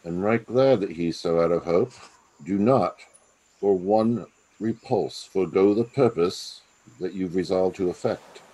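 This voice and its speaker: A male actor as a treacherous nobleman plotting in a Shakespearean audio play. The voice is very low and dark, insinuating and conspiratorial. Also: unhurried, rough recording. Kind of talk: Shakespearean radio play acting.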